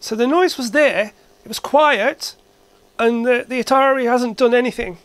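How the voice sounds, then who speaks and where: A woman narrating a hands-on repair demonstration casually, thinking aloud in short, halting phrases. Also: a little high, no echo. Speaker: hobbyist repair video maker. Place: indoor workshop at a workbench.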